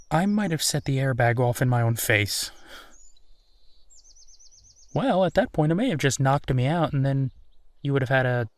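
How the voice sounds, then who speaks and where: A man playing an easygoing, playful werewolf boyfriend in a roleplay audio, talking casually and haltingly with a self-deprecating streak. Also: medium pitch, no room sound, close to an everyday voice. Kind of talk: intimate boyfriend roleplay audio, improvised-sounding one-sided conversation.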